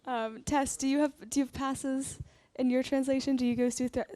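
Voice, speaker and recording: A woman moderating a panel discussion, brisk and guiding with a light playful touch. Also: high-pitched, flat delivery, dark tone, no echo. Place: library event space with live audience.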